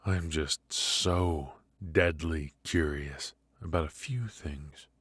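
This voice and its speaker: A man playing a teasing, menacing mafia boss in an ASMR roleplay, speaking in a halting, mock-polite, softly taunting way. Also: very deep voice, breathy edge, dry recording, clean studio sound, heavily acted. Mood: probing, amused.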